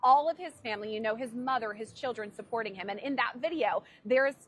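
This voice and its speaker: A woman court reporter explaining a case in measured legal news commentary. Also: a little high.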